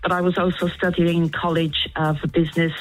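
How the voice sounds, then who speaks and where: A woman's voice: fairly low pitch, level tone, brisk, soft background music, poor audio. A friendly woman in her sixties from South Wales, calling in to a radio show and laughing easily. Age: 60s. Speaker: caller. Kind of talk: phone-in chat.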